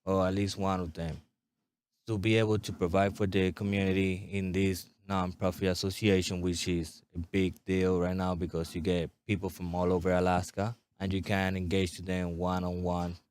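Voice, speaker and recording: Deep-voiced eager army recruiter man. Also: little pitch movement, normal speed, darkish tone, smooth, no echo. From Puerto Rico. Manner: eager, loose and conversational, tag questions like "right?". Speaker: army recruiter, interview guest. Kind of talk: casual interview.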